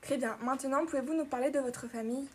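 A woman's voice: high voice, steady tone, fast talker, a little hiss or hum. A woman journalist asking polite, scripted interview questions.

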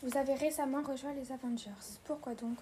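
Woman interviewer, high flat voice, scripted questions. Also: a little fast, slight background noise.

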